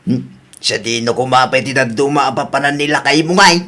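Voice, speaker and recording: Male voice, actor as mild father, bright forceful tone, radio drama, mid pitch. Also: a little fast, lightly acted. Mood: mild, reassuring. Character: mild, reasoning father of a grown woman.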